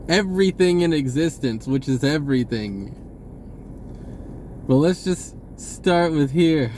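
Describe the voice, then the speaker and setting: A man's voice: fairly high pitch, lively intonation, unhurried, darkish tone, a little hiss or hum. A man talking in a casual, rambling, informal monologue with folksy wording, often correcting himself as a spiritual vlogger.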